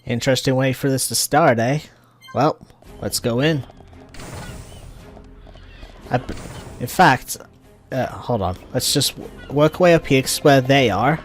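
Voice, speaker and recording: A man's voice: medium pitch, measured pace, pauses often. A man hosting a casual video game walkthrough, informal and thinking aloud as he plays. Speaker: let's play host. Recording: game music behind the voice. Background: video game music.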